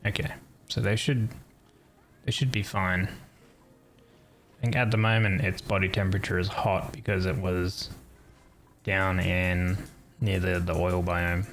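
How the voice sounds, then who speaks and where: A man, streaming a game and thinking aloud in a relaxed, calm way. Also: sits low, unhurried, pauses often, faint music behind. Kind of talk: casual gameplay commentary.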